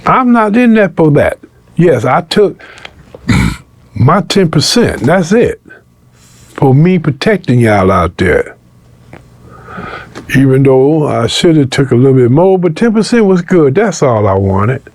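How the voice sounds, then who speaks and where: A man's voice: mid-range voice, slow pace, long pauses. A man telling a story casually in an interview, slangy and streetwise, acting out the dialogue as he goes. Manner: animated retelling, acting out quoted dialogue.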